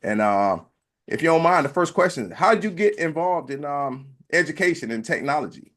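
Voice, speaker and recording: A man's voice: fairly high pitch, lively intonation, brisk, fairly bright tone. A man hosting an interview podcast, introducing his guest with emphatic, upbeat phrasing and some hesitation. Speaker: podcast host.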